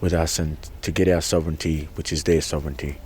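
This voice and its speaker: A man's voice: very deep voice, little pitch movement, fast talker, darkish tone, slight background noise. A man giving an earnest, impassioned personal account as a protester, often repeating and restarting his words. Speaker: protester at a sacred site camp.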